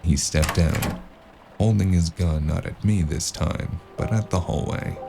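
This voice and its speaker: A weary hard-boiled detective telling his own story. His narration is extremely deep and gravelly, in classic noir audio drama style. Music runs clearly underneath. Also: measured pace, warm tone. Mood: brooding. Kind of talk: first-person noir narration.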